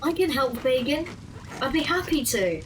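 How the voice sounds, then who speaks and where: A woman's voice: sits high, very animated, moderate pace, a little hiss or hum, poor audio. A woman voicing a meek, polite, eager young orphan boy in an audio drama. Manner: meek, obliging.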